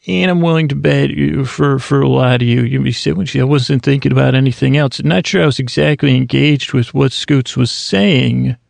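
Male sleep-podcast host, heavily gravelly creaky voice, dry close sound, meandering and self-deprecating. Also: medium pitch, moderate pace, hardly any pauses, no room sound. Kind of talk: rambling bedtime talk.

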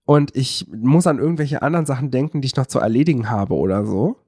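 A man's voice: medium pitch, quick pace, a little mellow, slightly rough, dry recording, clean studio sound. A man co-hosting a podcast in casual chat, explaining things in a rambling, enthusiastic way. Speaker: podcast co-host.

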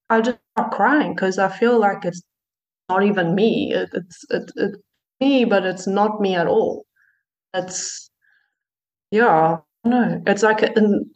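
A woman's voice: mid-range voice, poor audio. A woman musician being interviewed, talking in a hesitant way with many fillers and restarts. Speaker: musician guest. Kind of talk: casual interview.

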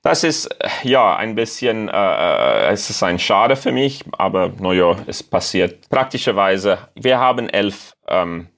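Man, Afrikaans accent, lowish voice, halting, searches for words, interview guest. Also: lively intonation, creaky, a bit bright. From South Africa. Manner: halting, searching for German words with many fillers. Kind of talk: casual interview.

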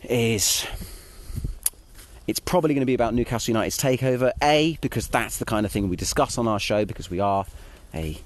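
A man's voice: mid-range voice, a little fast, a little hiss or hum. A British man recording a casual audio diary, talking in a wry, self-deprecating and hesitant way. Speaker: podcast writer and host. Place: outdoors on a walk.

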